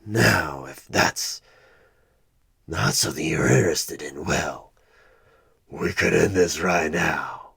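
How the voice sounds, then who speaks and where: A man playing a sinister demonic tempter who poses as a job interviewer in a horror ASMR roleplay, coaxing with halting, menacing calm in a gravelly, breathy half-whisper. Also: a bass voice, heavily acted. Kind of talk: horror ASMR one-sided audio roleplay.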